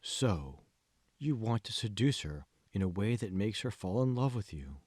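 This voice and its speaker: A man playing a calm, manipulative male mentor in an audiobook, speaking slowly and deliberately with hushed, condescending questions. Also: on the low side, slightly rough, no echo, clean studio sound. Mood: smooth, probing. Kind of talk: dramatic audiobook dialogue.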